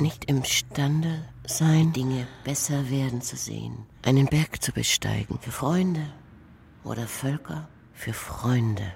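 A woman reciting poetic text in a radio play, in measured, broken phrases. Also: extremely low pitch, vocal fry, slight background noise. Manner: measured, fragmented phrases, at times whispered. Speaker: performer in an art radio drama. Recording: voice under loud instrumental music. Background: loud instrumental music.

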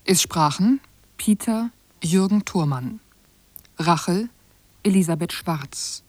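A female radio announcer reads scripted credits. Her voice is on the low side and very unhurried, in a dry, close recording. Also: expressive, darkish tone, no room sound.

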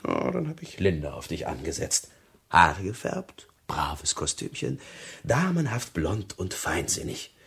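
A brutish crook from a crime radio play, with a low voice that swings widely. He taunts and sneers, gloating and menacing. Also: huge pitch swings, measured pace, gravelly, fairly bright tone, exaggerated voice. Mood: gloating, mocking. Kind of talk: German crime radio drama acting.

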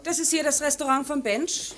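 A woman's voice: high-pitched, moderate pace, a bit bright. An actress playing a composed, courteous lady guest in a German radio drama, reserved and matter-of-fact. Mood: polite.

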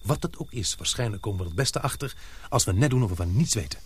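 A man acting in a radio play as a grave, suspicious expedition member, speaking earnestly and deliberately. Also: lowish voice, expressive, slightly rough. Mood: ominous, resolute. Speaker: radio drama actor.

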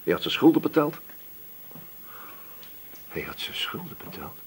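An actor playing a shrewd, sceptical police inspector in a Dutch radio drama, questioning briskly and with authority. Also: male voice, medium pitch, sing-song, slight background noise. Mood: pensive, suspicious.

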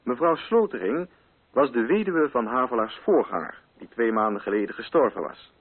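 Male narrator, husky voice, reading literary prose for a radio play; very muffled, band-limited recording. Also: medium pitch, expressive, moderate pace.

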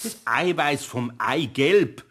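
A man's voice: mid-range voice, animated, slow, fairly bright tone, breathy edge, exaggerated voice. A man playing an older, good-natured master carpenter in a German children's radio play, grumbling and sighing in a Bavarian accent. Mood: flustered, scolding. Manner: grumbling, sighing, repeating protests.

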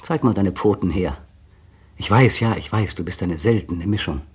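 A brooding, bitter monologuist. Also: male voice, deep voice, normal speed, darkish tone, husky. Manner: brooding, bitter, precise diction. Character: tense bitter man brooding on his partner. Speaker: male narrator of a radio play. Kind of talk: 1960 radio drama inner monologue.

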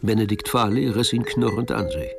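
A man narrating a German radio crime drama in a measured, literary storytelling manner. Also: on the low side, steady tone, gravelly, music in the background.

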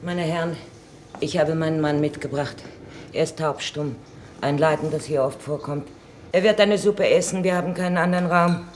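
A composed colony nurse played by a woman in a vintage radio drama, heard through clear background noise. She makes short, plain statements without any ornament. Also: sits low, normal speed, natural voice. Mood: subdued, composed. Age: 30s. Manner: matter-of-fact, unadorned, short statements. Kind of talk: 1950s German radio play acting. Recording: noisy vintage radio recording.

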